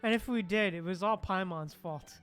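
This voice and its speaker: A woman's voice: medium pitch, moderate pace, faint music behind. A woman streaming a game with casual, giggly reactions, repeating phrases as she chats with viewers. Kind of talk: casual livestream reaction chat.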